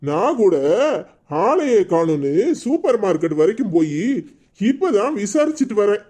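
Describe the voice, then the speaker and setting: A man's voice: high voice, sing-song, normal speed, darkish tone. A man voicing a blunt, indignant older village man, scolding and exclaiming in a cartoon drama.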